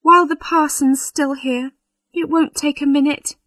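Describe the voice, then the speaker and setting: A woman's voice: high voice, steady tone, moderate pace, fairly bright tone, no echo, clean studio sound, voice pushed up. A woman voicing a tearful, anxious young village bride in a storybook, plaintive and earnest. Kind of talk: storybook character voice.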